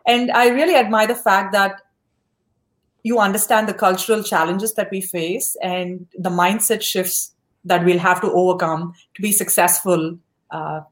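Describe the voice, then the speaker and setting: A woman's voice: mid-range voice, steady tone, moderate pace. A woman hosts a podcast interview. She speaks effusively, heaping praise on her guest. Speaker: podcast host.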